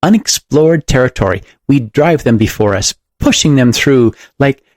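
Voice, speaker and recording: A man's voice: fairly low pitch, moderate pace, darkish tone, no echo, clean studio sound, close to an everyday voice. A man voicing a pompous, zealous doctor who makes grand, uplifting speeches in an audiobook. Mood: grandiose, zealous. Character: pompous, zealous male doctor lecturing on bold experiments. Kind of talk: audiobook character voice.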